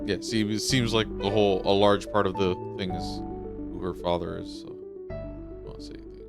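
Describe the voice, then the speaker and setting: A man's voice: deep voice, fairly even intonation, a little fast, creaky. A man giving laid-back, slangy gameplay commentary in a casual chatting style. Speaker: gameplay streamer reacting to an audio drama. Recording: loud game music behind the voice.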